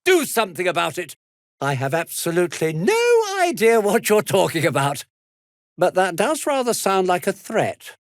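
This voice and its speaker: High-pitched, jittery, pompous British merchant. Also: male voice, wildly swooping pitch, moderate pace, dry recording, studio quality, full character voice. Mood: haughty, demanding. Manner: haughty, indignant, nervously faltering under pressure. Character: pompous, jittery, self-serving male merchant and house owner. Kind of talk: video game dramatic acting.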